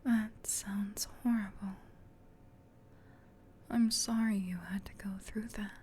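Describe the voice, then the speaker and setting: A gentle, caring female sleep paralysis demon, acted by a woman whispers softly in a comforting ASMR roleplay. Her delivery is slow and even, breathy and tender, close to the mic. A faint low rumble sits behind her. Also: natural voice. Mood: tender, soothing. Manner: tender, reassuring, unhurried.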